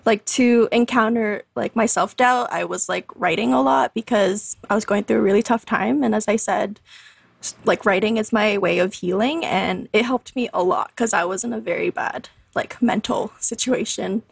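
A woman in her twenties, a podcast guest telling her story in a chatty, animated way, full of fillers and laughs. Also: mid-range voice, moderate pace, gravelly, husky, dry recording. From Canada. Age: 20s. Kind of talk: casual interview storytelling.

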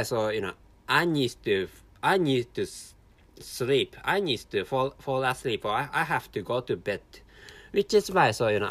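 Non-native male host, Japanese accent, weary and halting, animated pitch, casual solo talk. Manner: halting, many restarts and repeated words. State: tired. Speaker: podcast host.

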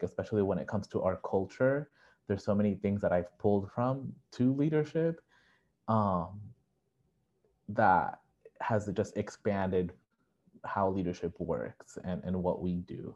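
A man's voice: low-pitched, darkish tone. A man speaking as a podcast guest in a reflective, casual way, full of "like" fillers, over remote call audio. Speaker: guest panelist. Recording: dull muffled audio.

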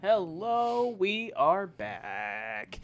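A man's voice: high-pitched, sing-song, extremely slow. A man co-hosting a podcast, chatting and bantering about news in an informal, slangy way. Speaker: podcast co-host. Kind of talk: casual banter and news chat.

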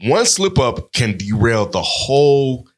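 A man in a casual group discussion of films. His voice sits at a medium pitch, and he talks emphatically with slang and swearing. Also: sing-song, takes it slowly.

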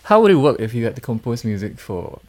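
A man's voice: medium pitch, big pitch swings, fast, darkish tone. A man, hosting a casual interview as an eager, appreciative listener. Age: 30s. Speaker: interviewer, host.

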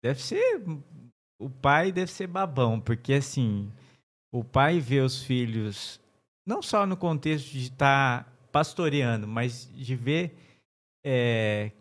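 A man's voice: mid-range voice, big pitch swings, takes it slowly. A man hosting an interview, asking a pastor questions in a chatty, enthusiastic way. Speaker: interview host. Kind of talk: casual interview conversation.

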